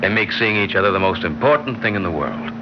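Cheating husband, male, played by an actor: deep, uneasy, conscience-stricken, vintage radio drama on a muffled recording. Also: animated, quick pace, natural voice. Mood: assured, intimate. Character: uneasy, conscience-stricken married man having a secret affair. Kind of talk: classic radio drama acting. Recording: noisy band-limited old radio recording, vehicle sound.

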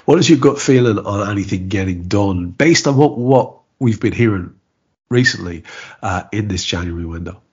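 A congested man with a cold co-hosts a football chat show in a low, husky voice. He talks hesitantly and stumbles over repeated words. The sound is dull, like a remote call. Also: normal speed, darkish tone. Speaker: podcast co-host. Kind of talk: casual football podcast chat.

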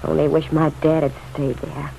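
A woman's voice: low-pitched, level tone, moderate pace, creaky, dark tone. An actress playing a bitter, pleading, lovelorn city woman in a vintage radio drama, blunt, slangy and insistent. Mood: eager pleading. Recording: dull, noisy old radio recording.

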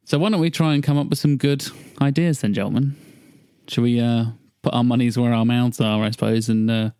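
A man with a medium-pitched voice hosts a relaxed, informal panel chat. The sound is dry, with very little room on it. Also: brisk, clean tone, dry recording. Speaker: podcast host. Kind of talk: casual chat.

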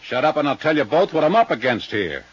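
An actor playing a tough, suspicious, impatient police lieutenant in 1950s radio drama, curt and slangy. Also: male voice, a little high, sing-song, fast, husky, no room sound. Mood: gruff, weary. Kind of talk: 1950s hard-boiled radio acting. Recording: dull old radio recording.

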